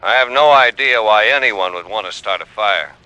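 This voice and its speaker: Man, evasive carnival owner, piercingly bright tone, lower pitch, guarded, halting, old-time radio style. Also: clear, resonant tone, no room sound, poor audio. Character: evasive male carnival owner under questioning.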